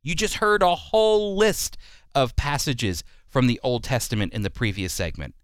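A man's voice: medium pitch, normal speed, clean tone, no echo, clean studio sound. A man giving earnest, emphatic Bible teaching in the style of a sermon, using rhetorical questions. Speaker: Bible teacher and preacher on a religious programme.

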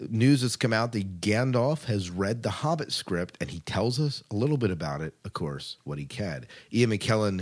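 Deep-voiced American man hosting a podcast. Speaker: podcast host. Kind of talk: podcast news segment, partly read, partly casual chat.

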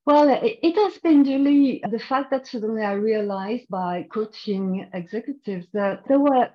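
A woman's voice: fairly high pitch, normal speed. A middle-aged woman speaks with a French accent, patiently explaining her work as a guest coach. She thinks aloud and restarts her sentences as she goes. The sound is a little dull and muffled. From France. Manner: thoughtful, explanatory, with frequent restarts. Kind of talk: podcast interview.

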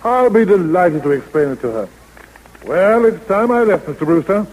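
An actor playing an affable, jocular lawyer in a 1930s radio comedy. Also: male voice, sits high, expressive, normal speed, darkish tone. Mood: genial. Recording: reverberant 1930s radio broadcast recording.

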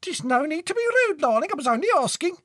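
A shrill, bustling mum, acted by a male narrator, pitched very high. She is a fussy storybook mother, calling out in loud, animated swoops. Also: fast talker, no echo, clean studio sound. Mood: scolding.

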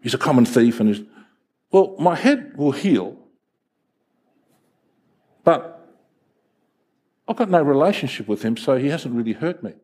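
A middle-aged male pastor, a native Greek speaker, preaching a sermon in an animated storytelling manner. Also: mid-range voice, measured pace, long pauses, slightly rough. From Greek heritage, first language Greek. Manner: animated, storytelling with acted voices.

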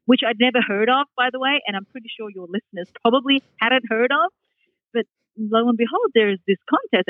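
A woman's voice: mid-range voice, no echo. A middle-aged woman with an Australian accent, a public speaking coach answering interview questions in an articulate, upbeat way. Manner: articulate, upbeat, laughs readily. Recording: tinny phone line, dry.

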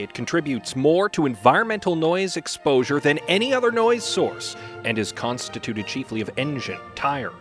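A man reading scripted narration in a measured, formal announcer manner. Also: mid-range voice, fairly bright tone.

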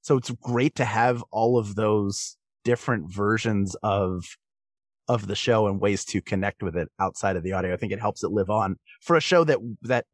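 A man's voice: lowish voice, moderate pace, dry recording. Man chatting casually, dry close sound. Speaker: podcast guest, performer. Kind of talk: casual interview chat.

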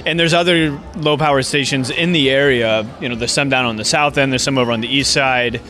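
A man's voice: medium pitch, steady tone, a little fast, pushed and bright, soft background music. A man from a community radio station giving casual, conversational answers in an interview. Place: inside a brewery restaurant.

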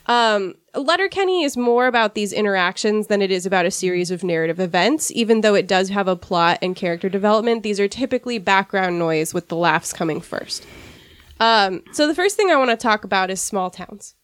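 A woman's voice: mid-range voice, expressive, brisk, clear, resonant tone, no echo. A woman from rural Washington hosting a casual podcast discussion, sniffly from a cold and chatty, with wry asides. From rural Washington, USA. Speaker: podcast host.

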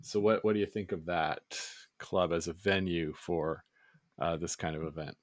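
A man with a low voice that is a little scratchy. He talks casually as a podcast co-host, and the recording is dry and free of room echo. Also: fairly even intonation, normal speed. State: slightly scratchy voice from yelling while curling. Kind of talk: casual chat.

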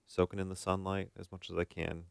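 A man's voice: deep voice, level tone, fairly quick, creaky, clear, resonant tone, dry recording, studio quality. An American man co-hosting a podcast, chatting casually with informal, folksy phrasing. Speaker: podcast co-host and practicing therapist. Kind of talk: casual conversational podcast chat.

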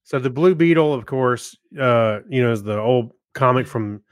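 A man co-hosting a podcast, giving casual reviews in a halting way as he searches for his words. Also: medium pitch, dry recording, studio quality. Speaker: podcast co-host. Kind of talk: casual film review chat.

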